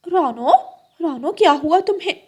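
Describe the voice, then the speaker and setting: A woman's voice: high-pitched, lively intonation, normal speed, a little mellow, voice pushed up. A woman voicing a blunt, protective female crow in a cartoon, outspoken and urgent with her friend. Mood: concerned, insistent. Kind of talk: Hindi cartoon story acting.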